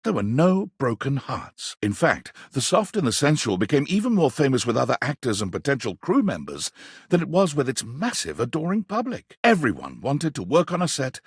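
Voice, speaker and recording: An actor playing a grand, wry storyteller, giving dramatic, measured scripted narration for a video game in a husky voice. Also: male voice, medium pitch, wildly swooping pitch, dry recording, clean studio sound, full character voice.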